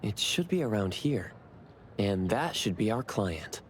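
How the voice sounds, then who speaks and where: An actor playing a calm, dutiful young investigator in a video game, polite, professional and reassuring. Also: male voice, lowish voice, a little slow, slight background noise. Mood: calm, attentive.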